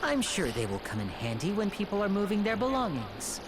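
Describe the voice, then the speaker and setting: A solemn, expressive game townsperson. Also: female voice, medium pitch, brisk, noisy background. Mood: earnest. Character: solemn, earnest citizen explaining a grim prophecy. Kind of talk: video game dialogue, measured exposition.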